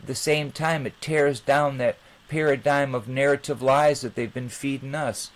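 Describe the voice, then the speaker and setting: A man's voice: medium pitch, steady tone. Rambling man hosting his channel. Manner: rambling, halting. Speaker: channel host. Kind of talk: informal monologue to viewers.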